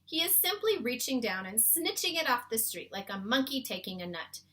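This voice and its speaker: A woman's voice: a little high, very animated, moderate pace, sharp tone, voice pushed up. A woman voicing a big, friendly male giant in a children's storybook, earnest and emphatic, with muddled homespun grammar. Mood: eager explaining. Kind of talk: children's storybook read-aloud.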